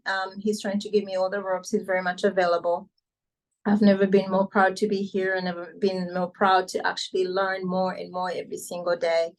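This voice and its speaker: A woman's voice: medium pitch, poor audio. Middle-aged Ugandan woman, chatty testimonial speaker. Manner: chatty, conversational, addressing listeners directly. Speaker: speaker introducing a coach at an online financial seminar. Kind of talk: informal testimonial and welcome speech.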